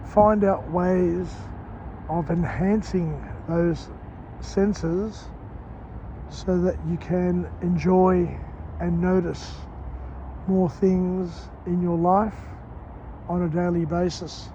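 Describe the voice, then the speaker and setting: A croaky-voiced man walks outdoors at dawn, filming a vlog as he goes. He speaks in short, slow phrases. The muffled recording picks up clear traffic noise around him. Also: high-pitched, steady tone, dark tone. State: hoarse, croaky voice. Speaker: vlogger. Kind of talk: walk-and-talk book summary vlog. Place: outdoors on a city street at dawn.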